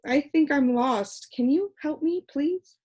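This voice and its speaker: A woman's voice: high-pitched, slow pace, darkish tone, voice pushed up. A woman voicing a worried young boy who asks earnest, puzzled questions in an audiobook. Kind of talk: audiobook character voice.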